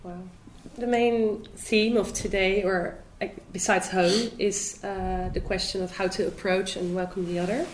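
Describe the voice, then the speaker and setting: A young woman asks interview questions in a mid-pitched voice, choosing her words tentatively. The room is clearly echoey, with faint noise around her. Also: steady tone, a little slow. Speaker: student interviewer.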